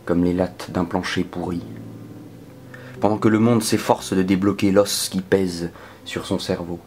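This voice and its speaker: A man performing a dramatic spoken-word poetry reading in a solemn, measured manner that can sink toward a whisper. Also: deep voice, little pitch movement, darkish tone, slight background noise. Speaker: poetry reader.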